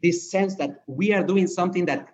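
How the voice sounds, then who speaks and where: A man's voice: high-pitched, moderate pace, darkish tone, lo-fi sound, a little hiss or hum. A man from Peru, a company co-founder, reflecting in an interview and often restarting his phrases.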